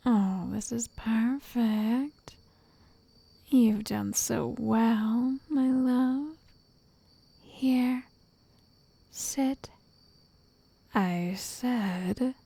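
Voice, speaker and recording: A woman voicing an obsessive, lovestruck witch in an ASMR roleplay, soft and whispery, coaxing with possessive endearments. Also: a little high, extremely slow, creaky, pauses often, breathy edge, no echo, exaggerated voice. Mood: adoring.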